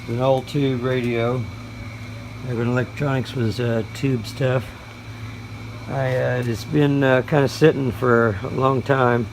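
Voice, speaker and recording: A man doing a casual show-and-tell as a hobbyist presenter, speaking in a hesitant, folksy, halting way. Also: medium pitch, creaky. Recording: noisy room with steady background hum.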